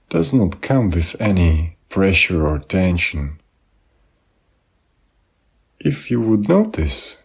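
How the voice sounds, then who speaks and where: A man leading a guided meditation, giving gentle, unhurried instructions with pauses. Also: low voice, breathy edge. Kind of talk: guided body scan meditation.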